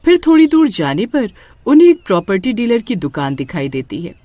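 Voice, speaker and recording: A woman's voice: mid-range voice, big pitch swings, brisk, dark tone, a little hiss or hum. A woman narrating a scripted horror story.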